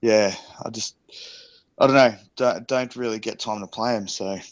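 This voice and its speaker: Lowish-voiced man chatting about games. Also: normal speed, muffled recording. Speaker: podcast co-host. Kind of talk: casual chat about gaming.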